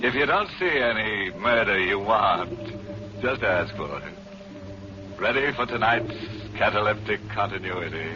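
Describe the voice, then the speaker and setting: A man's voice: lowish voice, lively intonation, music in the background. A man playing a gleefully macabre, punning radio horror host in a theatrical 1940s style, on a muffled old broadcast. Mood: mock-friendly, sinister. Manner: theatrical, slow, ghoulish joking.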